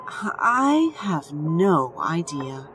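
A woman playing a calm, matter-of-fact hospital doctor in an audio drama, with a measured clinical manner. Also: low voice, faint music behind, heavily acted. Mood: puzzled, clinical. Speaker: voice actor.

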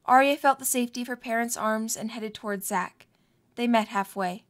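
Female narrator for a fan fiction audio drama, higher register, reading a scripted story. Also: moderate pace.

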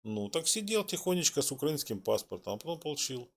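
A man's voice: a little high, big pitch swings, fast talker, crowd noise. A man from Donetsk hosting a chat-roulette talk, needling and mocking the person he talks to. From Donetsk, Ukraine. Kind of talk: argumentative casual chat.

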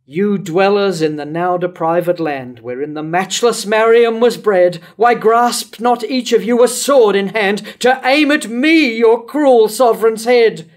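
An older man playing a grief-stricken, remorseful tyrant king in a staged reading of verse tragedy, declaiming in measured lines. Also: high-pitched. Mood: remorseful despair. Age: older, around 70. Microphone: lectern microphone.

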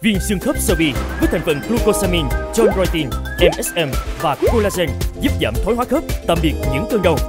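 A woman's voice: on the low side, animated, fairly quick, few pauses. A woman delivering a scripted advertising voice-over as an announcer. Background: loud music.

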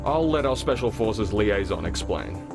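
A man's voice: on the low side, very animated, moderate pace, music in the background. A man playing a formal, resolute military commander giving a scripted mission briefing in a game. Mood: grave, measured.

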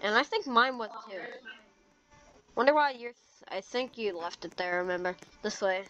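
An excitable gamer improvising a casual, dramatic video game roleplay. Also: mid-range voice, moderate pace. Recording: dull lo-fi mic with clear background noise.

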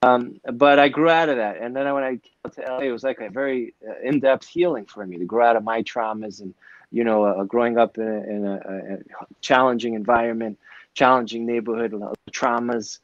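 Therapist guest, new yorker, rambling with fillers, dry muffled video call audio, forties. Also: male voice, mid-range voice, steady tone, brisk, no echo. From New York City. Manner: warm, rambling, many fillers and restarts. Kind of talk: conversational interview answers. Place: indoor office, video call. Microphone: earbuds on a video call.

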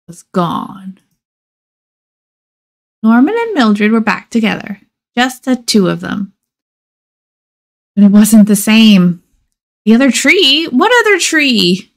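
A woman reading a children's picture book aloud expressively, with measured dramatic pauses and emphasis. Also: medium pitch, animated, vocal fry, darkish tone. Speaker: storybook reader.

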